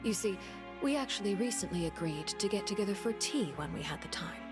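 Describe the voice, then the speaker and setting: An actress playing a poised, menacing woman in a video game, speaking politely and with measured control. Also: medium pitch, lively intonation, music in the background, natural voice. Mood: courteous, composed. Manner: polite, measured, veiled threat. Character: poised, menacing woman, head of a villainous order.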